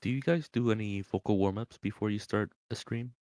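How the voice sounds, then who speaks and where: A man with a deep voice chatting casually, soft-spoken and prone to mumbling. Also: brisk, no room sound, studio quality. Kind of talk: casual chat.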